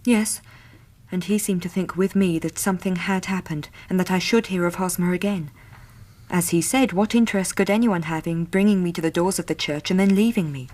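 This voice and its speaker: An anxious, devoted young Victorian lady played by an actress in a period radio drama. She speaks with an English accent in a rather low voice, earnest, polite and full of feeling. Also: steady tone, brisk, darkish tone, lightly acted. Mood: polite recounting.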